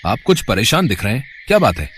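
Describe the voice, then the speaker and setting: A man acts out a smooth-talking village landowner in a cartoon tale. He is glib and two-faced, with a mid-to-low voice that swoops expressively. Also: sing-song, fast, a bit bright, a little hiss or hum. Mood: friendly. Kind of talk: acted cartoon story dialogue.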